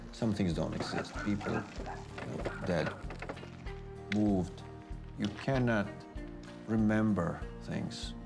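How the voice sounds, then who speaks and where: A man in his fifties with a Latvian accent, reflecting in an interview in a halting way, with pauses and restarts. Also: lowish voice, vocal fry. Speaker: athlete recalling a journey.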